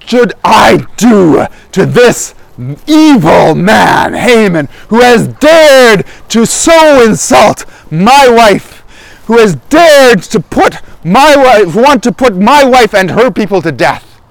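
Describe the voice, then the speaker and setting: A man's voice: very high-pitched, sing-song, moderate pace, darkish tone, dry recording, rough recording. A man voicing a doting, grandiose king in a Bible story, emphatic and theatrical, repeating himself. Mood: outraged.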